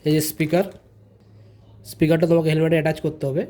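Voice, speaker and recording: Male vlogger, high mellow voice, informal hands-on tutorial delivery with repeated phrases. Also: little pitch movement, fast. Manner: informal, repeats phrases, addresses viewers directly. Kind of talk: casual step-by-step product tutorial.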